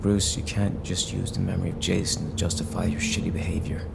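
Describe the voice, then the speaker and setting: A man's voice: low-pitched, flat delivery, fast, gravelly, background noise. A man playing a frustrated, idealistic younger hero in an audio drama, earnest and confrontational. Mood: pleading.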